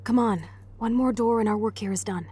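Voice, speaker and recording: A woman's voice: on the high side, a little fast, dark tone, husky, faint music behind. Brash, sardonic rebel teenage girl. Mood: brash, determined. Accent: American. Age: young adult. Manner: sardonic, swaggering. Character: brash, rebellious, sarcastic teenage girl, foul-mouthed punk. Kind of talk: video game voice acting.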